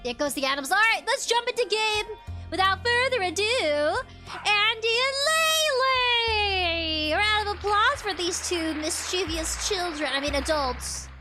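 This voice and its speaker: Bubbly high-voiced woman streamer. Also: lively intonation, unhurried, music in the background. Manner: bubbly, giggly, chatty. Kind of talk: casual live-stream chat while gaming.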